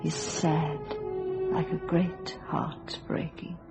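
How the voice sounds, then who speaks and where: An actress playing a haunted, pleading wife in a radio thriller, with an earnest and dreamy dramatic delivery. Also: female voice, on the low side, sing-song, takes it slowly, vocal fry, exaggerated voice. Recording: radio drama with music behind.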